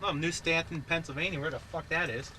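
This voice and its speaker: A man's voice: fairly high pitch, fairly even intonation, a little fast, rough recording. A man driving a car and testing a big radio amplifier, joking, laughing a lot and hollering into the radio. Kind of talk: casual chat on the road. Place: moving car. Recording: loud road noise, home video.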